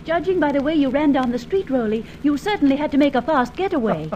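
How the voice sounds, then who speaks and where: A woman's voice: sits high, expressive, fast, a little hiss or hum. An actress playing a thief's wry, curious female accomplice in an old radio serial, teasing and poised. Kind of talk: 1950s-60s radio serial acting. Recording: dull vintage radio recording.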